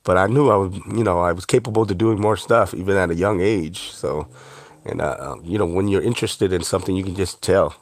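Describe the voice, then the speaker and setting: A man's voice: low voice, fairly quick, no room sound. A middle-aged man who grew up in Cambodia speaking Khmer first, a chef and author talking earnestly in an interview, with many fillers. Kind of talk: interview conversation.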